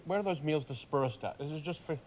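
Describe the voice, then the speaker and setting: Joking host, higher voice, stumbling questions. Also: male voice, brisk, darkish tone, slight background noise. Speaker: radio show host. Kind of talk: casual interview chat. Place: church coffee shop.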